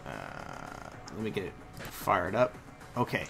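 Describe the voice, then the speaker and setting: A man's voice: sits low, huge pitch swings, takes it slowly, gravelly, fairly bright tone, soft background music. A man co-hosting a show, chatting casually while he watches videos. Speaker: show co-host.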